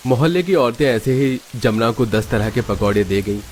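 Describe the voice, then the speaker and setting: A man's voice: medium pitch, lively intonation, moderate pace, darkish tone. A man reading scripted narration for a cartoon family story. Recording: faint background noise.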